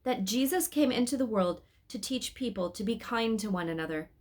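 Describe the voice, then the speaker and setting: A woman voicing a patient male angel guide in a storybook, explaining things in a measured, instructive way. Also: a little high, animated, darkish tone.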